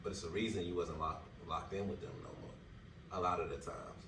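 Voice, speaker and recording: A man's voice: sits low, fairly even intonation, normal speed. A man around thirty co-hosting a podcast, talking things through in casual chat with loose slang and many fillers. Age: 30s. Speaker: podcast co-host. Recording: echoey room, distant mic, noisy background.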